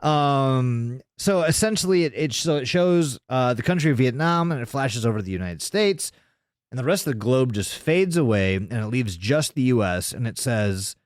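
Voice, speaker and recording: A man's voice: mid-range voice, expressive, normal speed, no room sound, studio quality. A man hosting a podcast, casually recounting a video in informal, sweary language. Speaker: podcast host.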